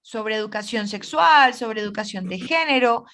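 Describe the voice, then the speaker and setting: A Colombian woman co-hosting a podcast, chatting casually and jumping in with lively remarks. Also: on the high side, moderate pace. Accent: Colombian Spanish. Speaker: podcast co-host. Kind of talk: casual chat.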